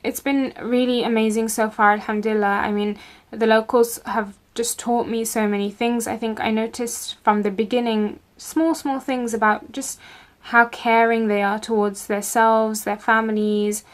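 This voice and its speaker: Young woman talking to a webcam at home, kinda high voice, pretty flat, lots of ums. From England. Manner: even, measured, with frequent ums. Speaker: contributor recording a video report. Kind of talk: casual first-person account. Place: home room with bookshelves.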